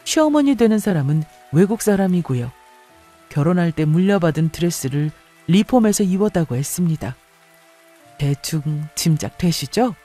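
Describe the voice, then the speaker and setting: A man's voice: fairly high pitch, expressive, normal speed, mellow, soft background music. A man reading a scripted first-person story as a dramatic radio-style narrator.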